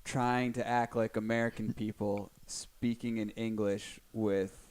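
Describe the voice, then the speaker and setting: A man's voice: fairly low pitch, level tone, unhurried, darkish tone. A man co-hosting a film podcast, speaking in a laid-back, hesitant way. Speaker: podcast co-host. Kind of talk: casual film podcast chat.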